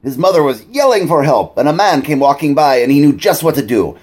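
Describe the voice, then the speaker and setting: A man's voice: on the high side, animated, a little fast, darkish tone, slightly rough. A man playing a theatrical horror-host doctor, telling a scripted joke in a hammy, announcer-like manner. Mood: playful, presenting. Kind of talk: scripted comic joke segment.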